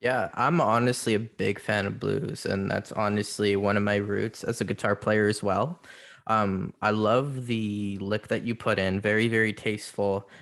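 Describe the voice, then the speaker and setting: A man with a low, gravelly voice gives relaxed, appreciative feedback as a guitarist. His delivery is easygoing. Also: normal speed. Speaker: guest guitarist.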